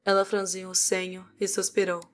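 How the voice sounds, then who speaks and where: A woman's voice: fairly low pitch, completely flat delivery, moderate pace. A Brazilian woman reading scripted narration for a fantasy audiobook. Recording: music behind the voice. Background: music.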